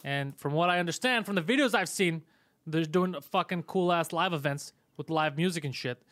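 A man whose first language is Greek, hosting a podcast in a casual, crude chat, loud, sarcastic and mocking, swearing often. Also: on the high side, normal speed, dry recording. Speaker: podcast host.

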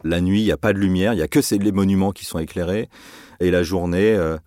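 A man's voice: sits low, quick pace, mellow, dry recording, clean studio sound. A man casually recounting a trip he took, hesitant and full of fillers and restarts.

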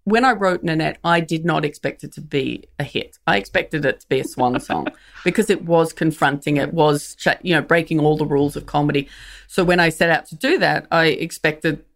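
A woman with a gravelly, creaky edge to her voice talks as an interview guest. She is frank and wry, circling back and restarting phrases as she thinks aloud. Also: sits low, moderate pace. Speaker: comedian guest. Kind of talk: reflective interview talk.

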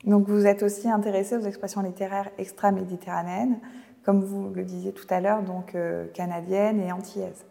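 Woman interviewer, gravelly mellow voice. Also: medium pitch, little pitch movement, long reverb tail. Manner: measured, composed questioning. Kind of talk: formal interview questions.

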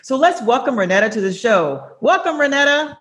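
A woman's voice: high-pitched, lively intonation, fairly quick, fairly bright tone. A woman hosting an interview show, upbeat and affirming as she introduces and questions her guest. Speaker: host. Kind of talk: podcast interview.

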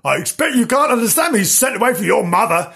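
A storyteller voicing a loud, silly, gushing young girl in a comic children's story. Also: medium pitch, very animated, fast, vocal fry, voice pushed up.

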